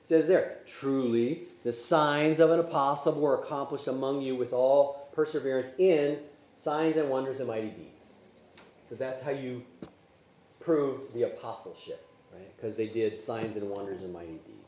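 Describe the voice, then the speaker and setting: A man's voice: medium pitch, normal speed, a little mellow. Give me a man teaching Sunday school in an echoey room. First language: Polish. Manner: conversational, asks the class questions. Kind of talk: Bible class teaching. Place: church classroom.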